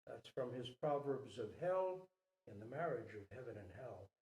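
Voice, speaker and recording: A man speaking as a storyteller and teacher, with a theatrical, measured delivery. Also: mid-range voice, big pitch swings, a little mellow. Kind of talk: dramatic story reading and spoken teaching. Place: talk at a Zen center retreat. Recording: roomy echo, distant microphone.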